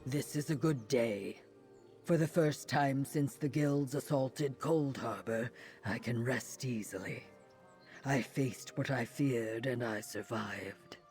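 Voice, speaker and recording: An actor playing a calm, thoughtful hero in a fantasy game, speaking in a measured, formal way. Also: male voice, mid-range voice, soft background music, natural voice. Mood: calm, relieved. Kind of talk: fantasy video game dialogue.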